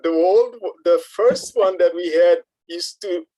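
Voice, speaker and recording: Man, raised pitch, fond halting reminiscence, casual group talk, dry close sound. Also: dark tone, dry recording, rough recording. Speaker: radio project participant. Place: video call.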